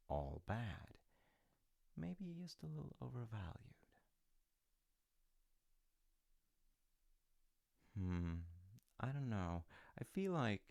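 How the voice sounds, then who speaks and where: A man playing an easygoing older dad who tells his child a folktale in an intimate, musing way, with gently wry, rambling asides. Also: dry recording, studio quality, exaggerated voice. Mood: musing, reassuring. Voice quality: low, gravelly. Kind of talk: intimate roleplay storytelling with casual rambling asides.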